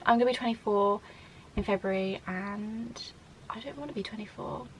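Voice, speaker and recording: A woman's voice: medium pitch, normal speed, slight background noise, poor audio. A woman in her twenties from the UK, chatting casually to camera in a Q&A vlog, rambling with lots of fillers and restarts. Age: 20s. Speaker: vlogger.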